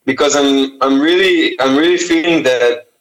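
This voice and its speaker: A man's voice: mid-range voice, normal speed, reverberant, poor audio. A young man with an Israeli accent, a native Hebrew speaker, answering interview questions about his art with many fillers and restarts. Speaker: artist and t-shirt designer.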